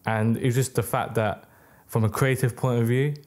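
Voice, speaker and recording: A young man born in the UK, a record label founder talking casually as a podcast guest, rambling with many restarts, on a boom mic in a small studio. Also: level tone, normal speed, a little mellow. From United Kingdom. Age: young adult. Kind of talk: casual interview. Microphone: boom mic on arm, headphones.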